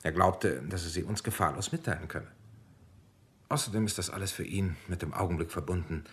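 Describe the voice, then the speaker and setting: Military doctor, low voice, clinical, composed, calculating, explanatory, radio drama. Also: male voice, a little fast, fairly bright tone. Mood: composed, reproachful. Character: cool, calculating military doctor overseeing an interrogation.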